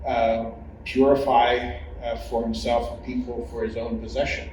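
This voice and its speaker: A middle-aged or older man, a former church leader, giving reflective personal testimony in a humble, hesitant manner full of pauses. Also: mid-range voice, little pitch movement. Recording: echoey room, distant mic, clear noise.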